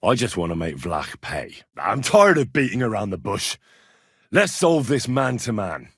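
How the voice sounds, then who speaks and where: An actor playing a blunt, plain-spoken young medieval adventurer in a video game, talking in an earthy, sweary, conversational way. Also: male voice, fairly low pitch, very animated, unhurried, a bit bright, breathy edge, no echo, studio quality, full character voice. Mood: bargaining, wry. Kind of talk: video game dialogue acting.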